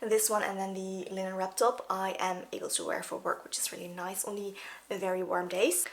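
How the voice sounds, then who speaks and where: A woman's voice: mid-range voice, flat delivery, normal speed. A young woman from the Netherlands, chatting casually through a clothing walkthrough with fillers and asides while she is losing her voice. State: losing her voice, sore throat. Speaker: fashion vlogger. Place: indoors, small plain room.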